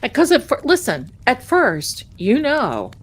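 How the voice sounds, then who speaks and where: A middle-aged woman casually chatting with her live-stream viewers as a tarot reader, rambling warmly and calling them her darlings. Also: high-pitched, wildly swooping pitch, unhurried, slightly rough.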